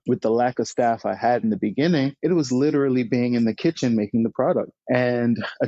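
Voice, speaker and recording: A young man talks as an interview guest, chatty and quick to laugh, with plenty of "uh" fillers. His voice sits at an ordinary pitch for a man. The sound is dry and a bit dull and lo-fi. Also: warm tone, no room sound. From Bahamas. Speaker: guest, founder. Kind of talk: casual interview storytelling. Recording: slightly dull lo-fi remote audio.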